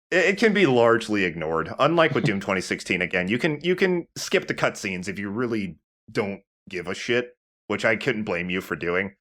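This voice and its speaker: A man's voice: lowish voice, a bit bright. A man giving casual, opinionated video game commentary with plenty of swearing. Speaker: let's play commentator. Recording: background music under the voice. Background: game music.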